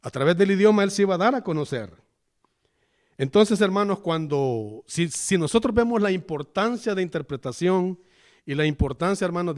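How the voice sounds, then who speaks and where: Salvadoran preacher with a high voice. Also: male voice, darkish tone. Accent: Salvadoran Spanish. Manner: chatty, keeps calling the listeners "hermano". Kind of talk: conversational sermon. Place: church.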